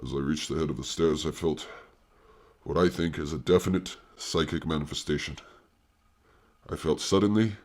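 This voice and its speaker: A man's voice: extremely low pitch, fairly even intonation, normal speed. A man playing a grave, self-important doctor of psychic research in an audio horror drama, reporting in formal, precise language. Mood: shaken, solemn. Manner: formal, solemn, precise, pompous.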